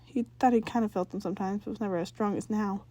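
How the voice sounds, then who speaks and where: Woman, mellow tone, medium pitch, fan fiction narration, occasional stumbles, dry close sound. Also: fairly even intonation, quick pace, dry recording. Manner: stumbles and rereads phrases. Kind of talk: story narration.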